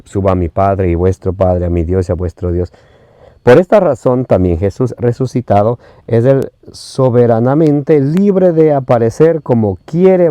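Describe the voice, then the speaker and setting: Mellow-voiced Ecuadorian man preaching. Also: mid-range voice, no echo. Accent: Ecuadorian Spanish. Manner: reads scripture references slowly, often hesitates with fillers.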